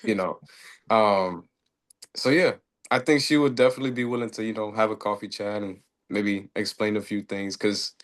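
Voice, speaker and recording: A man on a networking video call, friendly and full of fillers, speeding up and slowing down. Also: on the low side, steady tone. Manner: friendly, filler-heavy, uneven pace. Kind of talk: casual group chat.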